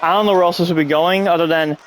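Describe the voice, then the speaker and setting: Stuffy-nosed man rambling, slightly high voice. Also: steady tone, fast talker, a little hiss or hum. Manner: rambling, sarcastic, often annoyed. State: stuffy nose, coming down with a cold. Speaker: podcast host. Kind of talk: casual wrestling review chat. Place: at home.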